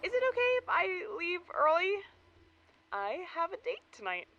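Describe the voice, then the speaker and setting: Casting: woman playing vulnerable, defensive young woman for audio drama, high voice, very expressive and urgent. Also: normal speed, muffled recording, background noise, exaggerated voice. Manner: emotional, urgent.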